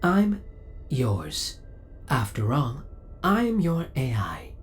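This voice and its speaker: Man voicing a devoted ship AI, lively intonation. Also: mid-range voice, slow, soft background music. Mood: devoted, possessive. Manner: formal, courteous, precise servant-like delivery. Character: devoted, possessive male spaceship AI, politely obsessive. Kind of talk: ASMR audio roleplay.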